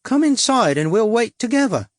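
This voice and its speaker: Male narrator playing a flirty Cockney working woman, high, coy, dropped H's. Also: big pitch swings, moderate pace, no echo, clean studio sound. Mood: coaxing. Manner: coy, coaxing, drops her H's. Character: brassy flirtatious woman, a London prostitute.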